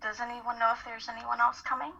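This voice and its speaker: A woman's voice: a little high, flat delivery, quick pace, gravelly, bright, forceful tone, full character voice. A woman playing an earnest, hesitant young college student who leads a support group in an amateur radio play reading. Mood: welcoming, slightly nervous. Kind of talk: live dramatic play reading. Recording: muffled, phone-like call audio.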